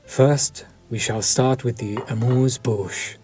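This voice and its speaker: A man voicing a suave, courteous vampire chef who speaks formally and softly, sometimes near a whisper. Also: medium pitch, fairly even intonation, soft background music, lightly acted. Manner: slow, formal and soft-spoken, dropping to near-whispers. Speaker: voice actor. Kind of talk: audio roleplay to the listener.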